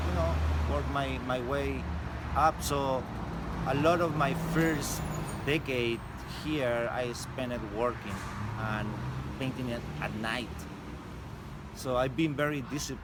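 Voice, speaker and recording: Chilean man talking haltingly over traffic. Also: medium pitch. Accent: Chilean Spanish. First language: Spanish. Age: 40s. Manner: halting, many fillers and restarts. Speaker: street artist, interview guest. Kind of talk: casual interview. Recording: loud street and traffic noise.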